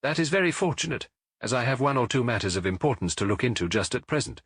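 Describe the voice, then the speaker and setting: A man's voice: mid-range voice, animated, quick pace, a bit bright, husky, no room sound, studio quality. A man voicing a clever, nonchalant Victorian detective in a storybook, urbane, precise and breezy. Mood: nonchalant, brisk. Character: brilliant, nonchalant Victorian male detective, brisk and amused.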